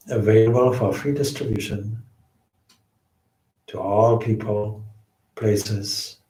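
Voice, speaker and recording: A man leading a guided meditation as a swami, with measured, solemn phrasing and frequent pauses. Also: lowish voice, warm tone. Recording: roomy, echoing indoor sound.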